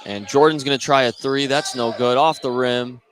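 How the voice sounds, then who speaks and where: A man's voice: medium pitch, steady tone, moderate pace. Male American play-by-play man over gym noise. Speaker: play-by-play sports announcer. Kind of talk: live basketball radio commentary. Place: courtside in a basketball gym. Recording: clearly noisy gym with bouncing ball.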